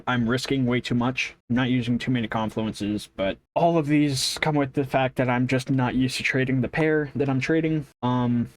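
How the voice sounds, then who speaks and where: A man thinking aloud in a casual, rambling audio journal, unsure of himself, often trailing off and rephrasing in loose slang. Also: mid-range voice. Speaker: vlogger recording an audio journal.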